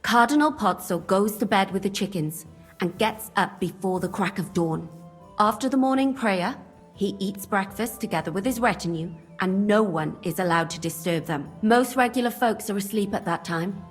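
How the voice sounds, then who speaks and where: A woman's voice: medium pitch, moderate pace, faint music behind, close to an everyday voice. Composed medieval woman played by an actress, dry. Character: composed, sharp-witted medieval woman scout. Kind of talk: naturalistic video game cutscene acting.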